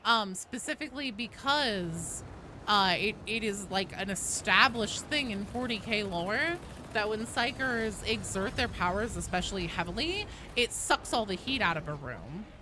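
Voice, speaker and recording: A woman livestreaming a video game, chatting casually and commentating in an informal, sweary, animated way. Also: mid-range voice, unhurried, a little hiss or hum, low-quality recording.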